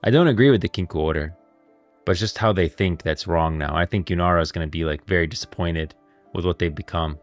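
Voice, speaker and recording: A man doing casual live commentary on game lore as a streamer, halting and repeating himself as he thinks aloud. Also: low-pitched, soft background music.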